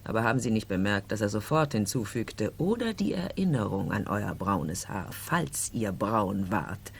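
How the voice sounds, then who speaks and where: A proud middle-aged marchesa in a 1970s radio drama. Her low voice is gravelly and creaky. She speaks with haughty, insistent, theatrical emphasis, over faint background noise. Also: big pitch swings, moderate pace, heavily acted.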